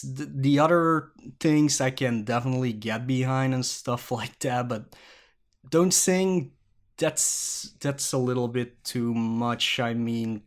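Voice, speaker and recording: Flemish man hosting a podcast alone. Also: medium pitch. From Belgium. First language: Dutch. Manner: rambling, self-mocking and jokey. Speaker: podcast host. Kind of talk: casual solo podcast talk. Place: at a creaky desk at home.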